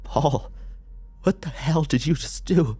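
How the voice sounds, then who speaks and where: A man's voice: on the high side, slow, mellow, slightly rough, pitched above the performer's own voice. A man voicing an urgent, strained policewoman in a horror audiobook. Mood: alarmed. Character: urgent, alarmed policewoman partner. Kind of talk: audiobook character voice.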